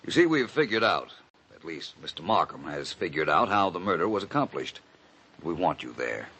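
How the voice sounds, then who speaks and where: Deep-voiced, wry gentleman detective. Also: big pitch swings, normal speed, heavily acted. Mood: cool, pressing. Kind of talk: old-time radio detective drama acting. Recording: noisy old radio recording.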